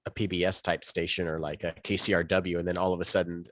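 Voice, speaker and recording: A man's voice: low-pitched, level tone, fast talker, a bit bright, dry recording. A man chatting casually as a podcast co-host, thinking aloud and wandering into asides.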